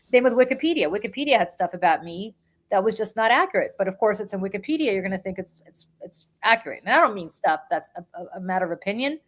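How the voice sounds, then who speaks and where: A woman hosting a casual political podcast, speaking with blunt, animated energy. She is middle-aged and often repeats her words as she pushes a point. Her pitch sits in an ordinary middle range for a woman. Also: fast talker. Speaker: podcast host.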